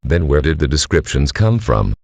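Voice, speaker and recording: A man plays a curious citizen phoning the police in an audio drama. The voice is extremely deep yet animated, puzzled and questioning, recorded dry and close. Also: normal speed, creaky, no echo, exaggerated voice. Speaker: caller. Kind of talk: scripted phone call drama.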